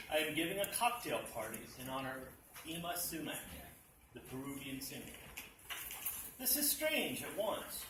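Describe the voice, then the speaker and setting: Man reading a story aloud, deadpan. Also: fairly high pitch. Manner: dry, deadpan storytelling, with a few restarts. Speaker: reader at a literacy event. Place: library meeting room at a dinner event. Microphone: distant mic. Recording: echoey room, distant mic, background noise.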